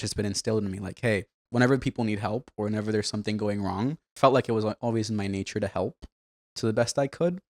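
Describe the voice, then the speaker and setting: Young man, from Bangladesh, earnest, chatty fillers, lowish voice, dry close sound. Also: no echo. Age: young adult. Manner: earnest, filler-heavy with frequent "like" and "you know". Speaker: community organizer, podcast guest. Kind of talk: casual interview talk.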